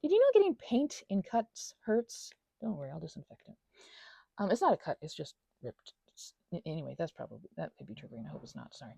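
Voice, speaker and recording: A little female goblin , acted by a woman, rambles through an intimate ASMR monologue in a soft, dry voice with some creak. She is anxious and self-deprecating, breaking off mid-thought to soothe herself, her pitch wandering freely up and down. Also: dry recording, full character voice. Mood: flustered, apologetic. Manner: rambling, halting, self-interrupting, self-soothing asides.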